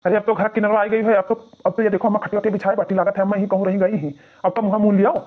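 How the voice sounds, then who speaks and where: A man plays a fussy young husband from an Awadhi cartoon comedy, forever nagging about family honour. His voice is high and hurried, and the audio is thin and phone-like. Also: flat delivery. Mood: exasperated. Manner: nagging, pleading, grumbling. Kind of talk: exaggerated cartoon comedy bickering.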